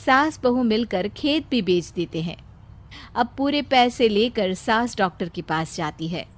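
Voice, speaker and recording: A woman's voice: a little high, expressive, a little slow. A woman reading scripted narration for a cartoon story.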